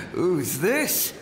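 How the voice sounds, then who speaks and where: A cocky spirit swordsman in a video game. His taunts come very slowly in a high, swooping, echo-laden voice, with noise around it. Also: full character voice. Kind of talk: scripted video game voice acting. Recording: echoing, noisy game effect.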